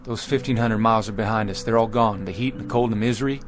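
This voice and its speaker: A man's voice: on the low side, over music, lightly acted. Man acting a stubborn, desperate American pioneer leader. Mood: pleading, resolute. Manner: earnest, insistent, halting under strain. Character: stubborn, desperate pioneer wagon-train leader, a devoted father. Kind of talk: radio drama acting.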